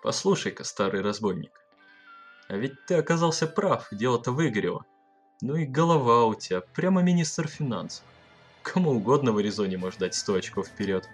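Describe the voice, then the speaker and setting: A man's voice: medium pitch, lively intonation, fairly quick, breathy edge, faint music behind. A young man in an amateur audio play, acting a cheerful, boisterous bandit of the American West. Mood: delighted, praising. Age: young adult. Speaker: amateur school performer.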